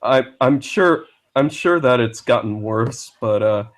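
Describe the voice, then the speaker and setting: A man chatting casually as a guest on a live stream, speaking in a hesitant, halting way. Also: medium pitch, expressive. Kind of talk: casual chat.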